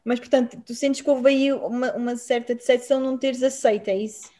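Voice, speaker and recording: A woman's voice: a little high, steady tone, fairly quick, warm tone. A woman interviewing a guest, mostly with brief prompts and short questions.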